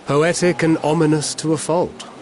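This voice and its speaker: An actor playing a worldly, philosophical man in a video game, articulate, wry and quietly resolute. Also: a little high, flat delivery, normal speed, natural voice. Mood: reflective, grave. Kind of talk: video game cutscene acting. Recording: music playing behind the voice.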